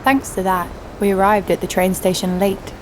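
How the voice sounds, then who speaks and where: A woman's voice: medium pitch, level tone, normal speed, noisy background, close to an everyday voice. An actress playing a scheming, foul-mouthed young female criminal who narrates an audio drama with sarcastic irritation. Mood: wry storytelling. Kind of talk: first-person audio drama narration and dialogue.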